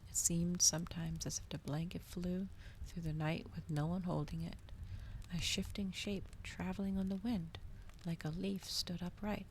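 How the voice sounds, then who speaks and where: Soft whispery lady doing an asmr book reading, low voice, pretty flat delivery. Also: normal speed, slight background noise, rough recording. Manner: soft, hushed, breathy, often slipping into whisper. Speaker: streamer reading a novel aloud.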